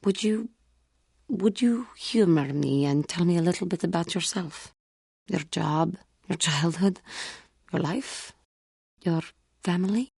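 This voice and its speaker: A female cat-like alien warrior from a video game, proud yet haunted by guilt. The voice sits low with a gravelly creak and a husky grain. The delivery is formal, earnest and halting. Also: darkish tone, dry recording, studio quality, full character voice. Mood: shy, apologetic. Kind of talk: scripted video game character dialogue.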